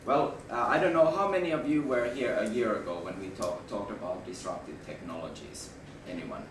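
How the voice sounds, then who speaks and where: A man with a Finnish accent gives a talk to an audience, his voice hoarse from a flu and somewhat low. He stops and restarts words, then pushes up for emphasis. He is picked up from a distance in an echoey room over a steady background noise. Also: a little fast. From Finland. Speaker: management consultant presenter. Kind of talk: presentation to an audience.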